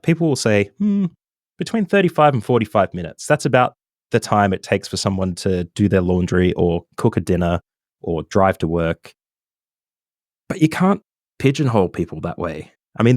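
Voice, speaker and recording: Deep-voiced man, mild Australian accent. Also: no room sound, clean studio sound. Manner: relaxed and chatty, with many ums and self-corrections. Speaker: podcast guest. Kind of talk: casual interview chat.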